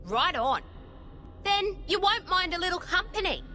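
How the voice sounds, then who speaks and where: A woman's voice: very high-pitched, sing-song, normal speed, sharp tone, soft background music, heavily acted. An actress playing a brash, cheeky young woman fighter in a video game, talking in slangy, cocky style. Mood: breezy, cocky. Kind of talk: video game cutscene voice acting.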